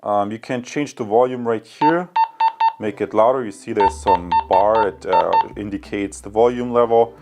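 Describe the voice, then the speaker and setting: A man's voice: fairly low pitch, over loud music. A man presenting a product review in casual talk to viewers, often hesitating and correcting himself. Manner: halting, with frequent hesitations and self-corrections.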